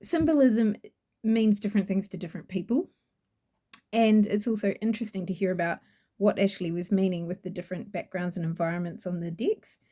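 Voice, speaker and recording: A woman with a New Zealand accent chats through a product review, gushing and rambling with plenty of fillers. Her voice is mellow and warm, at a middling pitch, recorded close to the mic. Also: brisk. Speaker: oracle deck reviewer.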